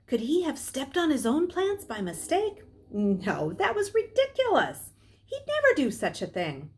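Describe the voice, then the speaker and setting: Expressive middle-aged woman reading a story aloud. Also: sits high. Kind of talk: expressive read-aloud narration. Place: small furnished room at home, indoors.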